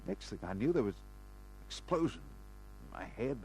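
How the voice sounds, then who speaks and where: Low, gravelly wounded fugitive, halting. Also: male voice, animated, mellow, a little hiss or hum, poor audio. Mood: weary, halting. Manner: halting, stammering, pleading. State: weak, in pain. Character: wounded, desperate man on the run, pleading. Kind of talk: old-time radio crime drama acting.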